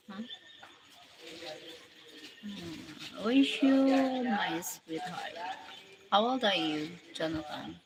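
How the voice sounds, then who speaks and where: A woman's voice: on the low side, creaky. A Filipino woman in her forties, chatting casually on a live stream, greeting viewers and thanking them one by one. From Philippines. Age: 40s. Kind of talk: casual live chat, reading out viewer comments. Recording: loud background noise.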